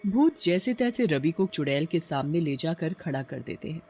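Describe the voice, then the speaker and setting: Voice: mid-range voice, lively intonation, normal speed, mellow, slightly rough. A narrator telling a scripted horror cartoon story.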